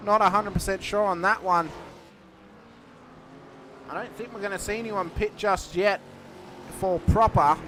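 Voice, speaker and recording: A man calls a sim race live in a fairly high voice, cheeky and quick with a joke. Loud engine noise runs steadily beneath his words. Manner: cheeky, joking, quick to laugh. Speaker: race commentator. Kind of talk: live sim racing commentary.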